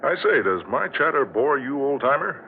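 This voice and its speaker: A man's voice: mid-range voice, sing-song, normal speed, gravelly. An actor playing a talkative male motorist in an old-time radio drama, with a hesitant, questioning way of speaking. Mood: friendly, chatty. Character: chatty male motorist, friendly, increasingly uneasy. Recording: muffled, hissy old radio recording.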